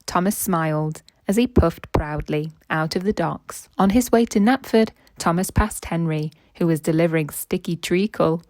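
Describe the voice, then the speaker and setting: A woman's voice: fairly low pitch, normal speed, hardly any pauses, a little mellow, no echo. A woman reading a children's story aloud as narrator and quiz host.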